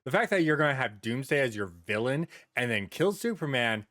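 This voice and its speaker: A man's voice: mid-range voice, big pitch swings, a little fast, a bit bright, no echo, clean studio sound. A man co-hosting a podcast, chatting casually and bluntly with plenty of swearing and repeated words. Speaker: podcast co-host. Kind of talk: casual chat, anecdotes and opinions.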